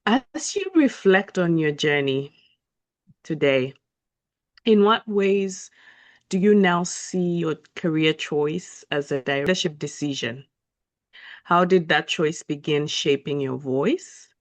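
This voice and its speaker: Woman interviewer, unhurried and declarative, dry close recording. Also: low voice, no room sound. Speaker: host. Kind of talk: interview questions, partly scripted intro.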